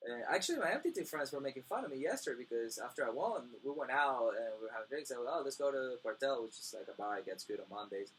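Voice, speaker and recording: Fast-talking young man, rambling chat. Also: medium pitch, animated, fairly bright tone, slight background noise, poor audio. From Costa Rica and Venezuela. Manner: fast, rambling, many fillers "like". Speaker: interview guest, poker player. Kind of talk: casual chat. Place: home in Costa Rica.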